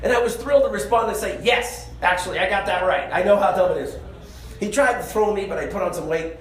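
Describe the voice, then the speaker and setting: A man telling a comic, self-deprecating story on stage in an animated, conversational way. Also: high-pitched, fast. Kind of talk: comedic stage storytelling to an audience. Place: live stage venue. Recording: echoey stage show, audible room noise.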